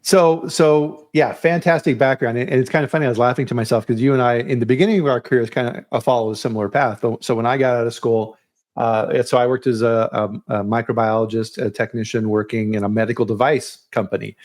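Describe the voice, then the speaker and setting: A man hosts a live chat in a relaxed, conversational way. He often stumbles and restarts his sentences. His voice sits in a middling pitch. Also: fast. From United States. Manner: chatty, restarts and stammers. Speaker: podcast host.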